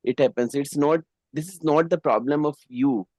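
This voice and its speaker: A man speaking in a relaxed, casual way, pausing often and filling gaps with "you know". His voice is mellow and dark. The recording is dry, with no room sound. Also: fairly high pitch, no echo. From India. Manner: relaxed, hesitant, frequent "you know" fillers. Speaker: English conversation partner. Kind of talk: casual conversation practice.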